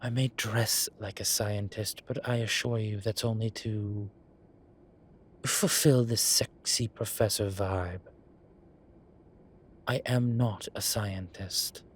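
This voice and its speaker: A man's voice: fairly low pitch, steady tone, slow pace, a little hiss or hum. A man playing a vain, suave incubus demon who poses as a posh professor in an audio roleplay, with a wry, self-satisfied drawl. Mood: smug, flirtatious. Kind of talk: scripted audio roleplay acting. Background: ambient sound effects.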